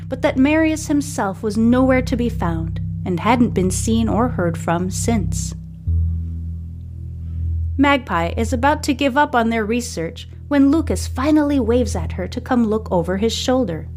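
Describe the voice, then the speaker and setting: A woman with a fairly high voice narrates a serial story as its host. She reads scripted fiction like a storybook, and music plays clearly behind her. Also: expressive, unhurried.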